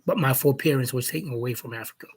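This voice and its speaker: A man from Jamaica speaking as a commentator in an opinion monologue, slow and deliberate, posing challenging questions. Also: mid-range voice, husky.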